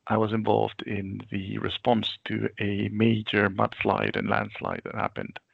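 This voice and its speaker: Low gravelly man. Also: dry recording, lo-fi sound. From Venezuela. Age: 40s. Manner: measured, reflective storytelling. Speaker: guest expert. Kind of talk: webinar interview answer. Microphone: video call.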